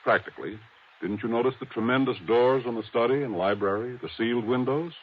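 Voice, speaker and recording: A man's voice: mid-range voice, a little fast, slightly rough, natural voice. Man acting proper radio-drama attorney, thin phone-like sound. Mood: explaining. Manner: courteous, formal, emphatic. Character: proper, earnest attorney, loyally defensive. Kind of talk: 1950s radio mystery acting.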